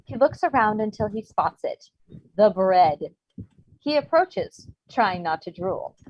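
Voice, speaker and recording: Lively, husky woman reading stage directions. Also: medium pitch, measured pace, dry recording. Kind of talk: scripted narration for comedy table read. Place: remote virtual table read. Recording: muffled video-call audio.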